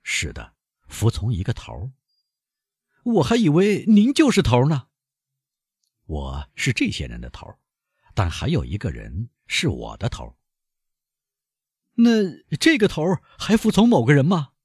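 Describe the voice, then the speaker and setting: A man voicing a frantic aging male banker held captive, husky and shrill, pleading and desperate in a storybook reading. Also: huge pitch swings, measured pace, long pauses, a little mellow, dry recording, clean studio sound, heavily acted. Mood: haggling.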